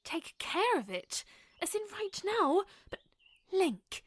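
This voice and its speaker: A woman playing a gentle, caring young princess in an audio drama, speaking softly and hesitantly over music. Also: very high-pitched, very animated, no room sound, exaggerated voice. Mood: worried. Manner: soft, hesitant, halting.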